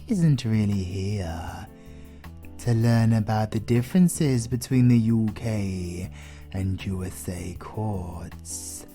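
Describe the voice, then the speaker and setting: A British man playing an ancient, suave vampire lord in an intimate horror audio roleplay, menacing and flirtatious, with deliberate, teasing delivery that often drops to a whisper. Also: on the low side, dark tone.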